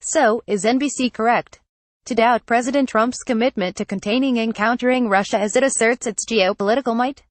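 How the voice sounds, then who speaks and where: A woman narrating a scripted news report in a measured, formal read-aloud delivery. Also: a little high, no echo.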